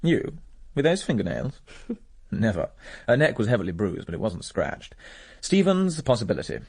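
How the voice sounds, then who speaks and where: An actor playing a cool, cynical war veteran in a radio drama, urbane and dry. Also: male voice, on the low side, big pitch swings, creaky, darkish tone, breathy edge, heavily acted. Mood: cool, wry. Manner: urbane, dry, measured logic.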